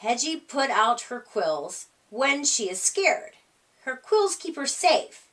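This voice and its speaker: A woman's voice: high voice, sing-song, slow pace, fairly bright tone, full character voice. A woman voicing a friendly, curious male frog in a storybook, in a kindly, simple manner. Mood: reassuring.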